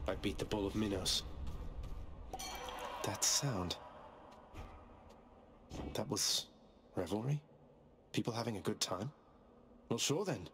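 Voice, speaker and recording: A cocky young prince of the underworld in a video game. His voice sits low, dry and sardonic, in clipped remarks, with music playing strongly behind him. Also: lively intonation, slow, long pauses. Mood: curious, dry. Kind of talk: video game hero voice acting.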